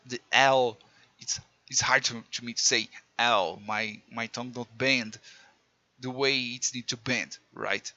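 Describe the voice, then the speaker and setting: A man's voice: medium pitch, pushed and bright, no echo, dull audio. A man with a Portuguese accent teaching a casual programming lesson, chatty and self-mocking, with slips in his English. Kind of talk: casual screen-recorded coding lesson.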